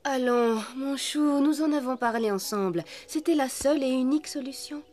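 A woman's voice: high-pitched, normal speed, a little mellow, lightly acted. A woman voicing a tender, worried mother in a French cartoon dub, soothing and comforting.

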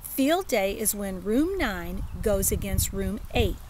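Storybook kid: woman reading as an excitable, bossy kindergarten girl, high and loud, eager. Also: slow pace, slight background noise. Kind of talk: children's storybook read-aloud.

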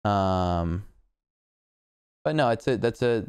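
A young man hosts a casual podcast chat, talking loosely with plenty of slang and jokes. His voice comes through very smooth and clear on a close boom mic. The sound is dry, with no room around it. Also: animated, darkish tone, no echo. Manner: casual, slangy, quick to joke. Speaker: podcast host. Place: home living room with a flag on the wall. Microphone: boom mic on arm close to mouth.

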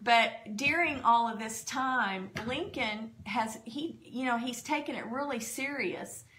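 A woman's voice: fairly high pitch, measured pace, sharp tone. A middle-aged woman pastor praying fervently and citing scripture, recorded at home on a desk microphone. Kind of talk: spoken prayer and testimony on a church broadcast. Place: home dining room. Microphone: desk podcast microphone.

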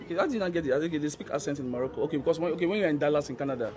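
A young man with a Nigerian accent runs a comic street interview, teasing and playful. His pitch jumps around a lot as he questions, and he speaks into a handheld mic on an outdoor terrace. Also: animated, very fast, music in the background.

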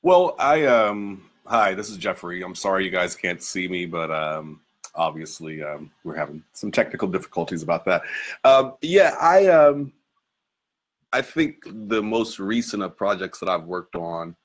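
Casting: male actor as panel guest, deep pitch, hesitant informal delivery, video call. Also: expressive, unhurried. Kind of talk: informal remarks in an online panel.

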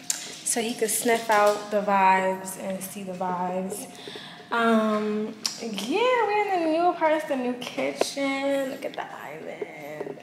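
A young woman vlogging to camera, chatty and encouraging, with casual slang, filmed on a close handheld camera in a car and then an empty apartment. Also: a little high. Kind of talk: casual vlog talk to camera. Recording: noisy car then echoey room.

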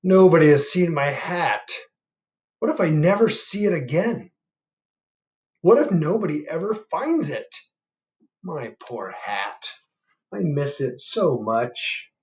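A man voicing a polite, plodding bear in a picture book, with slow, courteous, deliberate speech. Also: fairly high pitch, big pitch swings, voice pushed up. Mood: forlorn. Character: polite, plodding male bear searching for his hat.

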